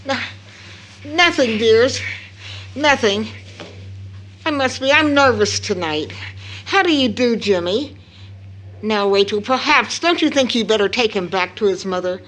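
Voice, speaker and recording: A woman's voice: a little high, lively intonation, measured pace, pauses often, fairly bright tone, slight background noise. A woman acting a tired, loving, anxious mother of two in an audio drama, with tender motherly endearments. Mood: nervous, concerned.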